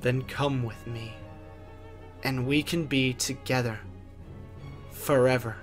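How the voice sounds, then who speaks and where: A man voicing a tender, seductive ghostly wife, coaxing softly in a horror audio drama. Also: medium pitch, steady tone, takes it slowly, faint music behind, natural voice. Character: dead wife's ghostly apparition, tender and seductive woman.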